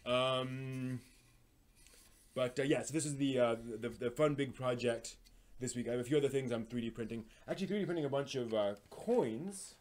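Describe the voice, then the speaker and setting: A man hosting a casual live stream, explaining hobby projects in a halting, thinking-aloud way. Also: medium pitch, darkish tone.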